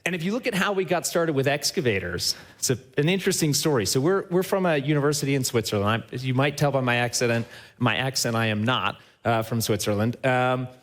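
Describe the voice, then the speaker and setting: A man's voice: mid-range voice, animated, fast talker, fairly bright tone. Upbeat man presenting on a stage. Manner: upbeat and enthusiastic, often checks in with a tag question; addresses the audience directly. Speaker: company CEO giving a stage talk. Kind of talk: live conference presentation. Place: trade show stage before a live audience.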